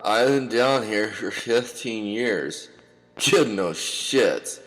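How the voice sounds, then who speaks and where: A man playing a hurt, eccentric hermit in an audio comedy drama, speaking in a labored, halting way. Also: lowish voice, lively intonation, fairly bright tone, big-room sound, low-quality recording. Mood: pained. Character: hurt, odd hermit craving his lost puppet.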